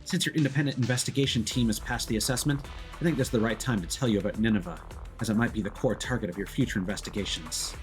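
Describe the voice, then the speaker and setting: A man voicing a formal, measured research examiner from a video game. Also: on the low side, soft background music, close to an everyday voice. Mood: formal, explanatory.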